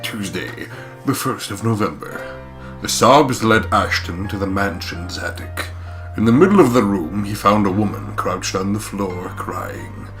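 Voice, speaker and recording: A man's voice: fairly low pitch, measured pace, a bit bright, soft background music. A man narrating a visual novel as a let's play co-host, with crude, sweary, mocking humour.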